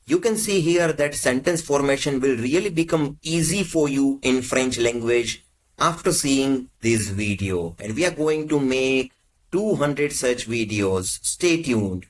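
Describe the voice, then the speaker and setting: A man teaching as a language tutor, reading short prompts in slow, measured phrases. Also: medium pitch, expressive. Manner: measured, carefully spaced phrases. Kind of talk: slow, deliberate lesson prompts.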